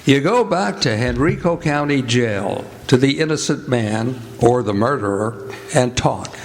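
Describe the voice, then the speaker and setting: An actor playing a solemn narrator of a 1940s-style radio drama, addressing the hero directly. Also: male voice, mid-range voice, expressive, measured pace. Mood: grave, urgent. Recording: echoey hall with music underneath.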